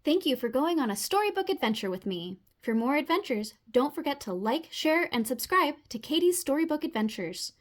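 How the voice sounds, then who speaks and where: Woman, high pitch, children's read-aloud host, storybook presenter. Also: big pitch swings, fairly quick, hardly any pauses. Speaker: storybook channel host and reader.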